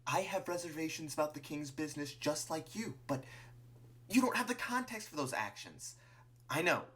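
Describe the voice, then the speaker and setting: A man acts out a monster woman in a narrated story, earnest and reproachful. Her voice is raised high and bright. She speaks in halting, pleading phrases. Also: animated. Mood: urgent warning.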